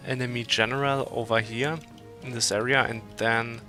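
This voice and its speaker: A man playing a strategy game live and calmly explaining his moves. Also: medium pitch, moderate pace, faint music behind. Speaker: player demonstrating the game. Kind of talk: explaining gameplay while playing.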